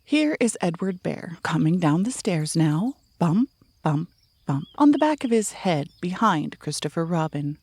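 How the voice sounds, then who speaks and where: A woman's voice: on the low side, sing-song, measured pace, a little mellow, husky, dry recording. A woman narrating a scripted children's story as a storyteller.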